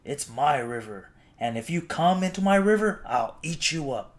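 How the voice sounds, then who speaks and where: A man's voice: fairly high pitch, lively intonation, moderate pace, lightly acted. A man voicing a big selfish crocodile in a storybook, with an emphatic, declaring delivery. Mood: bossy, threatening. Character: selfish bullying male crocodile, later grateful.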